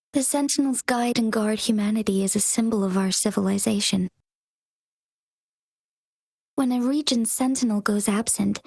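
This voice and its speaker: A gracious game leader who explains matters in a calm, formal way. Her fairly high voice carries a slight fry, recorded dry and close. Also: no room sound, full character voice. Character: composed young female leader, formal and gracious. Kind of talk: video game cutscene acting.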